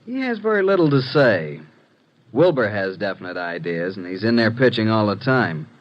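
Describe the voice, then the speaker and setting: An American actor playing a brisk, wisecracking detective's assistant in a 1950s radio mystery. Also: male voice, fairly low pitch, very animated. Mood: wry, breezy. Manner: wry, breezy. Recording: muffled, hissy vintage radio recording.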